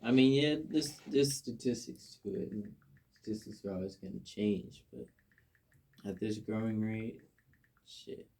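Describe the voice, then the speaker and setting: Low, husky American man. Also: a little slow, a little mellow. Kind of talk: casual podcast conversation with slang.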